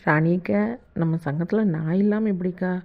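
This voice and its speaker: A woman's voice: on the low side, normal speed, lightly acted. A woman voicing a cheery, coaxing cartoon village woman with a colloquial Tamil Nadu accent. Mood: affectionate. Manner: colloquial, chummy endearments. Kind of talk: cartoon comedy skit dialogue. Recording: tinny phone-like narrow band.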